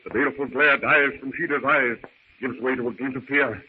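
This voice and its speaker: An actor serving as dramatic narrator of an old radio adventure serial, grave and suspenseful in theatrical style. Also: male voice, mid-range voice, steady tone, brisk, lightly acted. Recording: tinny, hissy vintage radio recording.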